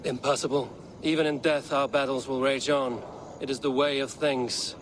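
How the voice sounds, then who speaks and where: An actor playing a grim, blunt Viking raider leader in a video game, terse and stern in formal phrasing. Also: male voice, mid-range voice, level tone, normal speed, close to an everyday voice. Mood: stoic. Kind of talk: dramatic video game cutscene acting. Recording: clear outdoor ambient noise behind.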